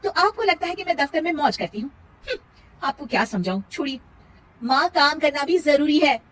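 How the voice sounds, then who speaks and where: A woman's voice: sits high, animated, fairly quick, fairly bright tone, voice pushed up. A woman voicing an overworked young working daughter-in-law in a family cartoon, in a dramatic, exasperated manner. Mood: indignant.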